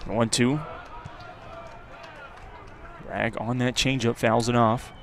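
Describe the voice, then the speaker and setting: A male play-by-play announcer calls a live baseball game in a medium-pitched voice. Faint outdoor ambience sits behind his commentary. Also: steady tone, slow pace, vocal fry. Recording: outdoor ballpark ambience noise.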